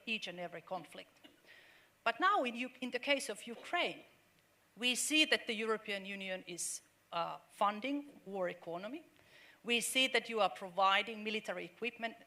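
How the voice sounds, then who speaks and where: A woman's voice: medium pitch, sharp tone. A middle-aged woman from Finland, speaking earnestly on a panel discussion with many fillers and restarts.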